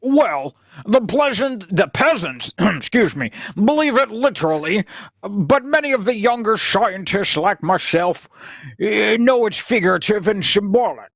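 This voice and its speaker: A woman's voice: mid-range voice, expressive, unhurried, no room sound. A woman playing an anxious, hesitant young male scientist with orthodox beliefs in a radio sci-fi drama. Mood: earnest, hesitant. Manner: hesitant, incredulous, protesting. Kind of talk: cold-read old-time radio sci-fi drama.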